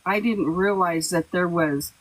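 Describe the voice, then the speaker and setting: A woman's voice: on the low side, unhurried, slight background noise, poor audio. A woman chatting casually in a group live stream, talking in a laid-back way.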